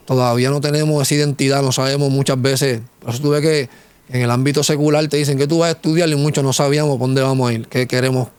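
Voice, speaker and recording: Smooth-voiced male interview host. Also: medium pitch, dry recording. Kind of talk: reflective conversational interviewing with religious exhortation.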